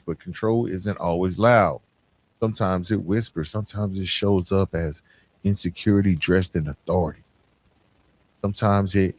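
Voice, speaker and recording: An American man hosting a podcast, giving a reflective motivational monologue in an earnest, emphatic way with deliberate pauses. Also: low-pitched. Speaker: podcast host.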